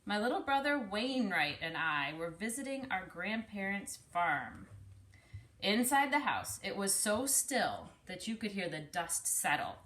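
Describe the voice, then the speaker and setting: A woman's voice: mid-range voice, very animated, measured pace, fairly bright tone. A woman voicing an easygoing grandfather in a storybook, telling a folksy tale of his childhood. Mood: reminiscing. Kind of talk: storybook character reading.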